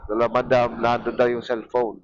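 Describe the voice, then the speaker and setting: A man with a Filipino accent narrating a casual motorcycle vlog to viewers, thinking aloud in a halting way as he rides. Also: mid-range voice, monotone. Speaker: motorcycle vlogger. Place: outdoors, riding a motorcycle. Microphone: small mic near the mouth inside helmet. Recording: muffled helmet mic with wind noise.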